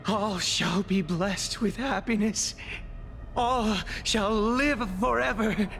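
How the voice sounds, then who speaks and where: An actor playing a zealous, theatrical vigilante captain who declaims grandly in a game drama. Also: male voice, high voice, slow pace, creaky, a bit bright, soft background music, exaggerated voice. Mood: exalted.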